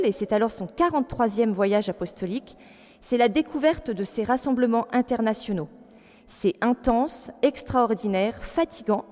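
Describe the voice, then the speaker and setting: A woman's voice: fairly high pitch, darkish tone. Earnest woman in her forties, echoing hall. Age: 40s. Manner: earnest, alternating read text with spontaneous asides. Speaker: speaker giving a testimony. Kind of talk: public personal testimony, part read aloud. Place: large hall with an audience. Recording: long hall reverb.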